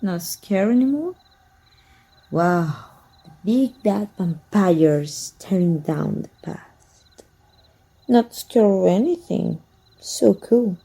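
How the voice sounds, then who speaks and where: A woman playing a teasing, wistful and flirty female vampire hunter with a breathy, husky voice in an intimate ASMR roleplay. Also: mid-range voice, lively intonation, heavily acted. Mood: taunting, flirty. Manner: slow, teasing, softly taunting.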